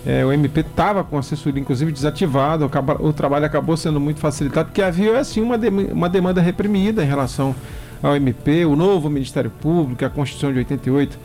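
A man's voice: fairly high pitch, fast talker, a little mellow, soft background music. A man hosting a talk show, chatting casually with guests and rambling through memories with many restarts. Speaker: radio or talk show host. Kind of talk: casual interview chat.

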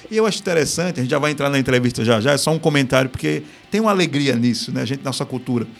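A man with a Northeastern Brazilian accent hosting a live talk stream, chatting in a lively way and tossing in playful regional exclamations. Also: medium pitch, very fast, vocal fry, soft background music. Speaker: host of a live business talk show. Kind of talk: casual live-stream chat.

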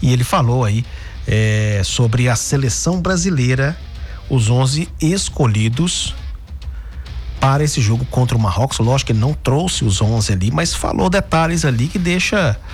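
A man's voice: medium pitch, expressive, normal speed, faint music behind. A man from Brazil hosting a sports radio show, talking through football news in a chatty way, often tagging his sentences with questions. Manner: chatty, frequent "né?" tags and restarts.

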